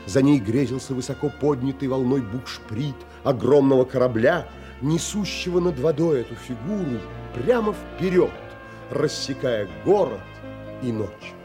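Audio drama lead: a man as a reflective, courteous traveller, measured delivery with wide melodic swings, music bed. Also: medium pitch, expressive, close to an everyday voice. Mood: rapt, reflective. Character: thoughtful male traveller recounting his wonder. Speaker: narrator and lead actor in an audio play. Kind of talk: literary audio drama narration.

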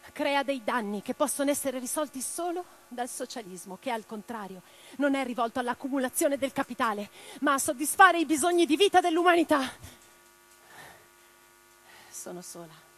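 A woman's voice: sits high, expressive, normal speed. An actress playing an impassioned middle-aged socialist revolutionary woman in a theatrical radio drama. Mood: sombre, lonely. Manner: declamatory in speeches, intimate in private moments.

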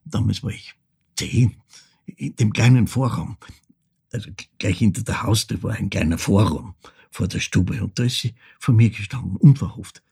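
Casting: a reflective older Austrian man, very husky, halting delivery, for a dramatic monologue. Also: fairly low pitch, heavily acted. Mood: hushed remembering. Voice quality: gravelly, husky. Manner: halting, deliberate, hushed, broken phrasing. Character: reflective ageing man recounting his wartime childhood. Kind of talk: literary monologue, dramatic storytelling.

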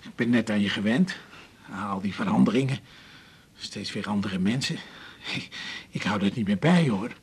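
A frail old man, a grumbling patient in a 1980s radio drama. His voice is extremely husky and rough. He speaks plainly and haltingly. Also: lowish voice. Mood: weary, attached.

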